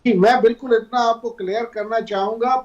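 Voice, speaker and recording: A man with a Pakistani accent, a politician answering on a panel, halting and leaning on filler phrases. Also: very high-pitched, fairly even intonation, darkish tone. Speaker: member of parliament, panel guest. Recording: dull, lo-fi sound.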